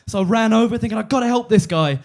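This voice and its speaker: A man in his twenties doing a deadpan stand-up set on a comedy club stage, halting with fillers and restarts. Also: high-pitched, vocal fry. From London. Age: 20s.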